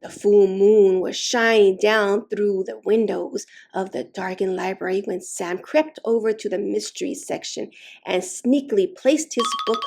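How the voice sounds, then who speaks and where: A woman reading a children's story aloud expressively, with slow, deliberate, dramatic emphasis on key words. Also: mid-range voice, gravelly. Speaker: storytime reader.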